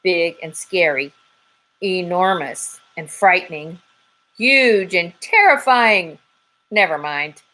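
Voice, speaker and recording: A woman voicing a tough, no-nonsense female chick detective who talks in a brisk, blunt way. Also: fairly low pitch, animated, sharp tone, full character voice, low-quality recording. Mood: exasperated.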